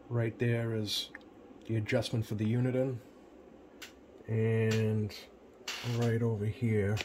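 A man giving a casual hands-on tutorial as a repair technician, plain-spoken and often pausing mid-sentence. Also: fairly low pitch, darkish tone. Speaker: CB radio repair technician presenting. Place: indoor electronics workbench. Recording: clear background noise, home workbench room.

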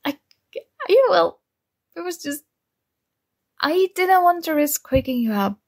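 A woman's voice: high-pitched, expressive, unhurried. A woman playing a shy, nerdy young student flustered by her crush in an ASMR roleplay, speaking hesitantly and awkwardly. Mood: sheepish.

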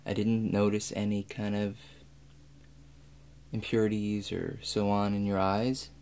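A man in his twenties speaking softly and calmly as a doctor in an ASMR role play, guiding the listener step by step. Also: lowish voice. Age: 20s. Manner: calm, measured, instructing the listener step by step. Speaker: role-player acting as an examining doctor. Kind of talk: soft-spoken ASMR medical role play. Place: small room indoors. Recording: clear steady background hum.

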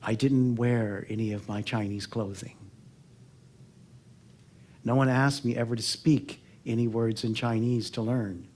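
An American man in his sixties gives a personal storytelling talk, reflective and earnest, pausing and letting phrases trail off softly. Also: medium pitch, fairly even intonation. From Oakland, California, United States. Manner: reflective, earnest, with pauses and soft phrase endings. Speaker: public speaker. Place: theatre stage before a seated audience.

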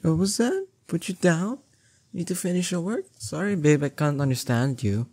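A young man playing a strong, doting boyfriend in an intimate roleplay audio drama, coaxing and teasing affectionately with drawn-out words. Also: on the high side, animated, a little mellow, breathy edge, no room sound. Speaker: voice actor.

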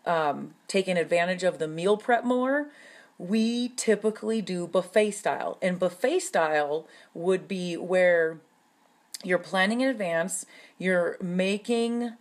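A woman in her thirties explaining meal prep in a casual teaching talk from her kitchen, often checking in with "okay?" and filling pauses with "um". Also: fairly low pitch. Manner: chatty, frequent "okay?" check-ins and "um" fillers. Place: home kitchen, indoors.

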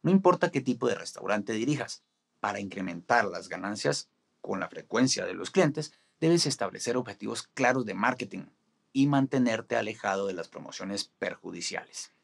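A man in his forties gives a scripted marketing lesson straight to camera in a studio. His voice sits low, with a gravelly, slightly husky grain. He keeps a steady, instructive pace throughout. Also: normal speed. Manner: steady, instructive delivery with gestures. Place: studio in front of a green screen.

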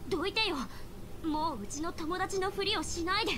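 A woman voicing a spirited, stubborn teenage girl adventurer in an anime game, emphatic and expressive. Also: very high voice, normal speed, noisy background. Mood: upset, defiant. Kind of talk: Japanese anime game voice acting. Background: game music.

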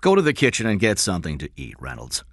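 A man voicing a confident, sharp-spoken wealthy father in an audiobook thriller, assertive and brusque. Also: sits low, huge pitch swings, quick pace, no echo, clean studio sound, exaggerated voice. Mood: brusque, assured.

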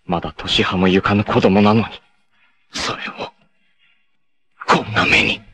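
A man voicing a proud, fierce young male martial artist in a 1980s anime, who moves from measured calm to raging shouts. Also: on the low side. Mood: grim, resolute. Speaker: anime voice actor. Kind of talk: 1980s anime dub acting.

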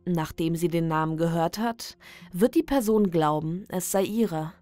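A woman reading a scripted creepy ritual guide as a horror narrator, in a measured, deliberate, instructional manner. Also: on the low side, warm tone, dry recording. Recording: dry studio voice over background music. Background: background music.

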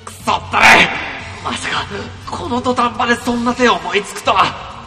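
A man's voice: extremely high pitch, moderate pace, vocal fry, big-room sound, loud music behind the voice. A man voicing a scheming young male office worker in a comedy radio drama, with melodramatic inner monologue.

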